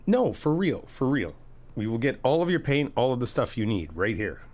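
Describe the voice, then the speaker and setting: A middle-aged man in an ASMR friend roleplay, talking in an easygoing, reassuring, chatty way with soft laughs. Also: mid-range voice, sing-song, normal speed. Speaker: ASMR roleplay creator playing a best friend.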